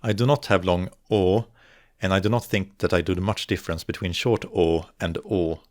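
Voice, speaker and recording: A young man from northern Sweden, a native Swedish speaker with a Swedish accent, giving a careful, measured scripted narration for an educational video. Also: low-pitched, fairly even intonation, no echo, studio quality. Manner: measured, careful, slows to articulate example words. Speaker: video essayist on dialects.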